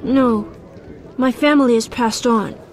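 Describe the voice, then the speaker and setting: A woman's voice: fairly high pitch, unhurried. A woman voicing a subdued orphaned child in a fantasy video game, with a simple, plaintive way of talking. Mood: forlorn, uncertain. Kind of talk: video game dialogue acting.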